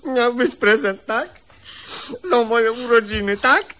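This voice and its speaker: A man's voice: very high voice, slow pace, sharp tone, heavily acted. An actor playing a petulant, self-important seventeen-year-old boy in a comedic radio play, blunt, sulky and whining.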